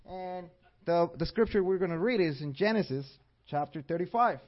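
A man's voice: high-pitched, lively intonation, moderate pace. Upbeat man with a Mexican accent. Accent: Mexican Spanish. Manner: upbeat, asks the audience questions. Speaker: church class teacher. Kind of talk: interactive church class teaching. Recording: dull lo-fi recording.

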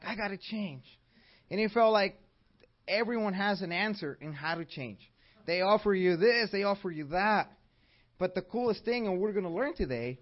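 A man from Mexico with a Mexican accent, teaching a church class and asking his audience questions in an upbeat way. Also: high voice. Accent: Mexican Spanish. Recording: dull lo-fi recording.

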